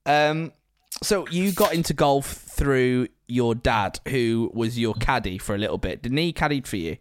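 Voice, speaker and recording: A man's voice: medium pitch, lively intonation, measured pace. A man, interviewing in a casual, teasing way. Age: 20s. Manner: teasing, bantering. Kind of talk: casual chat.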